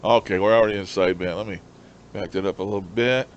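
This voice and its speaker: A man's voice: on the low side, quick pace, highs cut off. A man gives a casual, unscripted demonstration as a hobbyist, explaining things and repeating himself. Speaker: amateur radio hobbyist, presenter. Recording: clear steady background noise.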